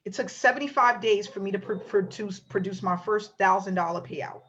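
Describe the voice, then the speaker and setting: A woman hosting a motivational webinar, telling her story in an animated way and acting out the quotes. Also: medium pitch, moderate pace, slight background noise. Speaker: webinar host. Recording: lo-fi online call audio.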